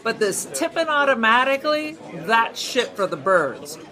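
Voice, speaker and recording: A woman's voice: a little high, big pitch swings, normal speed, pushed and bright. A woman playing an opinionated, stubborn small-time crook in an audio drama, speaking bluntly. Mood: defiant, argumentative. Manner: argumentative, blunt. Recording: busy crowd chatter behind.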